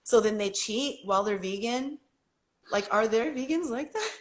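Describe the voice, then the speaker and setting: A woman's voice: fairly high pitch, lively intonation, moderate pace. A woman guest on a casual livestream chat, talking emphatically and bluntly, with some swearing.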